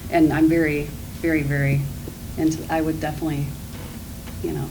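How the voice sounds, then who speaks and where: A woman's voice: low-pitched, level tone, moderate pace, over music. Earnest woman, distant mic. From mid-Michigan. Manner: earnest, rambling with restarts. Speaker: job candidate. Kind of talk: interview answers. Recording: distant mic, clear background noise.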